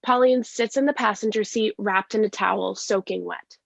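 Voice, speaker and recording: A woman's voice: mid-range voice, moderate pace, gravelly, no room sound, lo-fi sound. A woman giving scripted narration, reading stage directions at a play reading.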